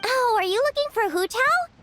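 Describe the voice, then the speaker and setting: Very high, squeaky childlike fairy sidekick. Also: female voice, moderate pace. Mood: cheerful, eager. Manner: exclamatory, expressive, playful. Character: tiny chirpy childlike fairy companion, cheeky and excitable. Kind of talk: video game voice acting.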